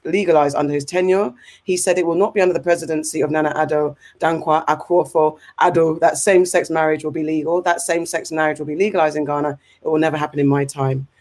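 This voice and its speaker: A woman with an English accent, hosting a casual discussion show, talking in an animated, rambling way with fillers and restarts. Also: sits low. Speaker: podcast host.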